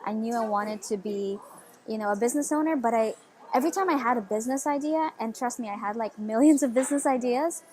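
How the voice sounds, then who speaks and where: A woman's voice: fairly high pitch, quick pace, a little mellow, slight background noise. A woman in her twenties from the Philippines, telling her story eagerly in a casual interview, with lots of "like" and "you know". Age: 20s. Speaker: interview guest.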